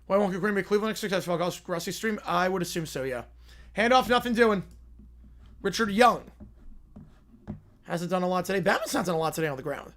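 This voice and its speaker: A man hosts a live game stream and calls the football play by play with a high, bright voice. His voice is hoarse and worn from long use. He reacts to the action and answers chat between plays. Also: brisk, pauses often. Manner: excited, rising commentary on big plays, quieter asides to chat.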